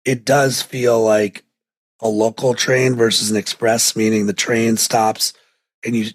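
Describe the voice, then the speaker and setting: A man's voice: on the low side, dry recording. A man hosting a podcast, talking in an eager, rambling way with lots of fillers. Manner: enthusiastic, rambling, many fillers. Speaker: podcast host. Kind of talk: casual podcast conversation.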